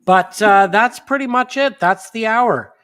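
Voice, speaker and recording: A man's voice: high-pitched, measured pace, fairly bright tone, smooth. A man hosting a monthly live stream in casual chat, sniffly, rambling with fillers and restarts.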